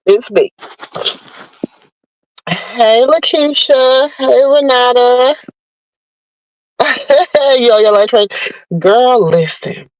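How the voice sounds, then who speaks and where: A woman's voice: on the high side, animated, extremely slow, pauses often, no echo, rough recording. Woman host with a drawl, nasal and stuffed up, chatty and familiar, dry close sound. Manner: drawling, calls listeners baby and y'all. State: nasal from a sinus infection. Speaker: podcast host. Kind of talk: casual chat to viewers.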